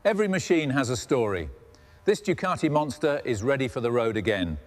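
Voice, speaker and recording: A man hosting and narrating a restoration in a scripted, calm workshop commentary style. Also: medium pitch, brisk. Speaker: host narrating a motorcycle restoration. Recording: long hall-like reverb.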